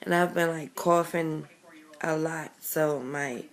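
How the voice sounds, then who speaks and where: A young woman with a raspy voice from a chest cold, chatting casually to her camera. She talks slowly and rambles, full of ums and small corrections as she goes. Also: low-pitched, steady tone. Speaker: vlogger.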